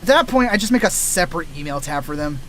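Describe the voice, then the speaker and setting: A man streaming as a VTuber, chatting casually while he plays, his voice a little raspy and tired from days of voice work, full of loose asides and restarts. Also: a little high, expressive, fast, fairly bright tone, a little hiss or hum. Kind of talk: casual rambling while gaming.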